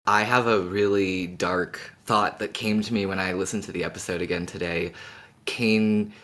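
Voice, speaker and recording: A podcast co-host with a low-pitched voice chats informally about a favourite audio drama. The delivery is thoughtful, often rephrasing an idea before settling on it. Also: fairly even intonation, normal speed, fairly bright tone. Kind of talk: casual fan discussion of an audio drama.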